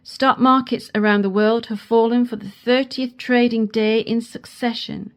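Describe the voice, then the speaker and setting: An actress playing a formal, earnest female news anchor in a science fiction radio play, speaking in measured phrases. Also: a little high, steady tone. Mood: grave, formal. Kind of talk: scripted radio news acting.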